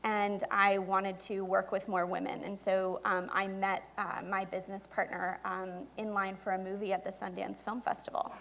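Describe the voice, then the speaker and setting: A woman with a mid-range voice speaks as a founder on a panel. She recounts her career conversationally, pausing and hesitating often as she searches for the next phrase. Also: medium pitch, level tone, creaky, fairly bright tone, a little hiss or hum. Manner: halting, many hesitations. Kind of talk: conversational career storytelling on stage. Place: indoor event stage before an audience.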